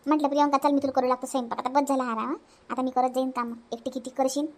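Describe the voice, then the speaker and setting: Dutiful, conciliatory eldest sister-in-law for a family cartoon, played by a woman: high, brisk, hesitant delivery, Varhadi Marathi accent. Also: level tone. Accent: Varhadi Marathi from Vidarbha. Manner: conciliatory, hesitant, self-sacrificing. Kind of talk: homely family cartoon voice acting.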